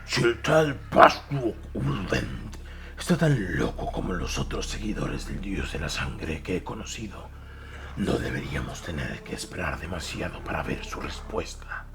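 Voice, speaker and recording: A man voicing a brutal warrior henchman who relishes killing, speaking with slow, gleeful menace in an audio drama. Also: low-pitched, sing-song, noisy background, pitched below the performer's own voice, exaggerated voice. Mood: brooding. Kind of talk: dramatic audiobook villain acting.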